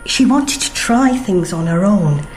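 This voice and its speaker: An actress playing an intense, probing psychic medium in a radio drama, with a halting, portentous manner. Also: female voice, mid-range voice, animated, darkish tone, faint music behind, close to an everyday voice, low-quality recording.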